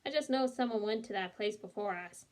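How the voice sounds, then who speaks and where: A woman's voice: mid-range voice, fairly quick, a little mellow, natural voice. A woman reading the part of a puzzled, earnest and cautious young boy in a storybook, with a questioning manner.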